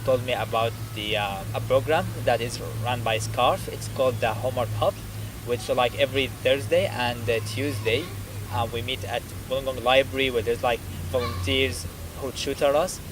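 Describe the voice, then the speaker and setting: Young Syrian-born man, student interviewee, mid pitch, outdoor traffic noise. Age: young adult. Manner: thoughtful, many fillers and restarts. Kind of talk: interview answers. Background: street traffic.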